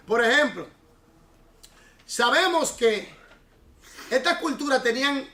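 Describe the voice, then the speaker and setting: High-voiced, bright-toned male Bible teacher. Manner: explains at length, quizzes students with questions. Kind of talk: Bible study class. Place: church classroom. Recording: echoey room.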